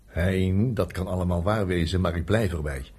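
An actor in a radio play playing a gruff, sceptical, distrustful man. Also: deep voice, moderate pace, darkish tone, a little hiss or hum, close to an everyday voice. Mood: grumbling, suspicious. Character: grumbling, distrustful man, a club insider. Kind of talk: Dutch radio play acting. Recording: radio drama recording.

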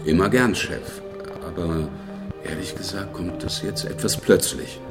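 An actor playing a persistent, dryly sardonic police inspector in a German radio crime drama, with clipped, understated delivery. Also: male voice, low-pitched, unhurried, far from the mic, over loud music. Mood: wry, casual. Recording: roomy, echoing, slightly off the mic.

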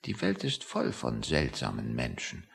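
A man's voice: rumbling low, wildly swooping pitch, measured pace, gravelly, fairly bright tone, full character voice. An actor in a satirical radio play playing a pompous, fretful male civil servant with a querulous, suspicious manner. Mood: brooding, suspicious.

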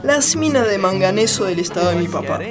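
A woman's voice: mid-range voice, lively intonation, brisk, music in the background, natural voice. A woman playing a scheming, absent-minded American diplomat in a satirical radio play, dropping in English interjections. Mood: persuasive. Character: smooth-talking, scheming American embassy mineral attaché, absent-minded.

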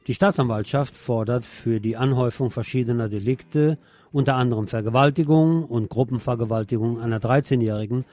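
A man's voice: mid-range voice, fairly even intonation, brisk, dark tone, soft background music. A man presenting a scripted radio magazine with news and commentary, phrasing things in a wry, dramatic way.

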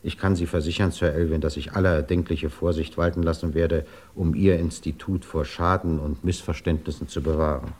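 An actor playing a courteous, resolute government investigator in a 1950s German radio drama, speaking formally and deliberately. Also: male voice, rumbling low, fairly even intonation, darkish tone. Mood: measured, matter-of-fact.

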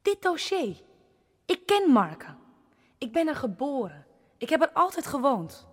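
A woman's voice: high voice, very animated, a little slow. An actress in a Dutch radio drama playing a determined, indignant young village woman who speaks insistently and emphatically. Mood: urgent, pleading.

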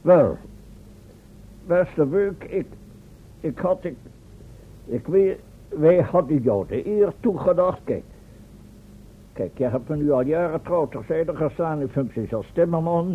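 An actor playing a pompous, long-winded inventor professor who lectures formally and corrects himself, in a Dutch radio play. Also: male voice, on the high side, expressive, a little slow, long pauses, slightly rough. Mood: solemn, persuading. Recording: tinny band-limited old radio recording, faint noise.

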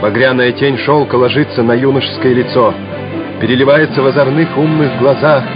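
A man narrating a Soviet war radio play in a measured, solemn, declamatory manner. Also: medium pitch, steady tone, close to an everyday voice. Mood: exultant, proud. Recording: dull vintage radio play recording, loud music. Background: music.

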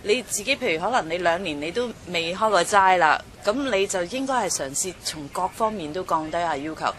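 A woman's voice: fairly low pitch, brisk, a bit bright. A woman with a Hong Kong Cantonese accent giving casual advice in a colloquial, explanatory way with hypothetical examples, people chattering behind her. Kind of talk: casual discussion of dating advice.

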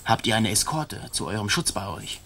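Boastful colonial sergeant, theatrical radio drama bluster, light background noise. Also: male voice, lowish voice, expressive, moderate pace, creaky, heavily acted. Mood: businesslike. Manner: swaggering, theatrical, loud-mouthed. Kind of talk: German radio drama acting.

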